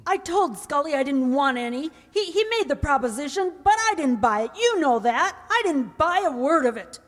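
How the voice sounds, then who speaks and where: A wary, hard-boiled woman of the underworld played by an actress in a radio crime play. Her voice is highish and very animated, guarded and slangy, ringing in a hall. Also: moderate pace. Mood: defensive. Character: wary, tough female underworld go-between. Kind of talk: 1950s crime radio drama re-enactment. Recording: reverberant hall.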